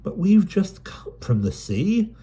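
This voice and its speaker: A man's voice: sits high, big pitch swings, takes it slowly, warm tone, slightly rough, voice pushed up, full character voice. A man voicing a plucky, chatty London street girl with broad cockney-style dialect in an audiobook. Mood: cheery. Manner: bold, chatty, upbeat. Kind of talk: audiobook character voice.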